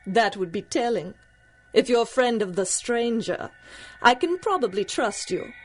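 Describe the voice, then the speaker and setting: A woman playing an ageless, proud and teasing voodoo priestess in a radio drama, speaking in a formal, knowing and measured way. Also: on the high side, very animated, soft background music, rough recording. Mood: teasing, guarded. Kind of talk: 1930s-style radio drama acting.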